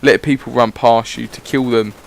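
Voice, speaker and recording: A man's voice: medium pitch, steady tone, normal speed, vocal fry. A man giving casual, rambling voice-over commentary on his gameplay, swearing freely as he chats. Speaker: gaming YouTuber.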